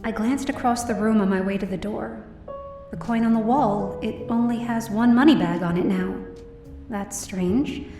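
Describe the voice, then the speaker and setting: A woman's voice: mid-range voice, normal speed, lightly acted. Roomy, slightly distant female voice acting, weary worried daughter, subdued first-person drama narration, faint music. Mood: uneasy, subdued.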